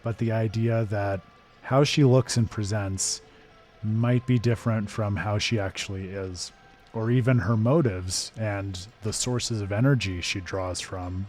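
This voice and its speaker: A hoarse man hosting a live game stream, talking through his thoughts in a thoughtful, digressive way with frequent restarts. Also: low voice. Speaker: streamer and host.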